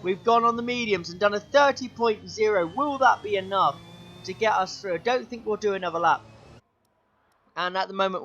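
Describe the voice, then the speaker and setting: A young man commentating his own sim race, his voice going hoarse, excitable and shouting at the big moments. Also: sits high, highs cut off, slight background noise. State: losing his voice. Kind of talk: gaming commentary.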